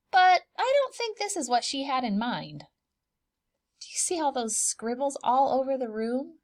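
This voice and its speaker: Woman, high voice, very lively intonation, preschool storytime read-aloud, expressive, dramatic, questions to children. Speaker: preschool teacher reading a picture book aloud.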